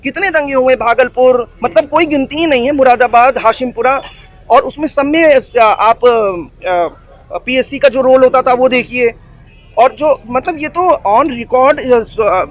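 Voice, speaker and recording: A man's voice: very high-pitched, measured pace, dry recording. A man giving a spontaneous, argumentative opinion in an interview, repeating himself and searching for words. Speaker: street interviewee. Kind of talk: spontaneous opinion, Hindi mixed with English.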